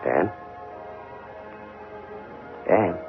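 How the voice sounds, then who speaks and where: Radio drama, muffled narrow sound: modest, easygoing, worn-out detective husband, low and colloquial. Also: male voice, animated, drawn-out words, faint music behind, full character voice. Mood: tired, easygoing. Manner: casual, folksy, colloquial. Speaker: actor. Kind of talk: 1970s radio drama acting. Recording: muffled, phone-like old radio broadcast.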